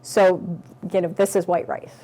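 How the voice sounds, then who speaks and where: A woman's voice: fairly low pitch, level tone, unhurried, warm tone. A woman speaking off the cuff in an interview as a nutrition expert. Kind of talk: unscripted interview answers.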